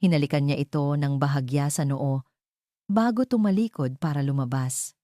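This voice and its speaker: Low, even-voiced Filipino woman storyteller. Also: normal speed, no room sound, studio quality. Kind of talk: scripted dramatic story narration.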